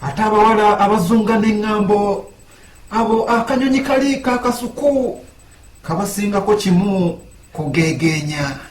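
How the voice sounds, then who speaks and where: A man hosting a countdown list, narrating it in a lively, mocking way. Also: sits high, moderate pace, reverberant. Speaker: host.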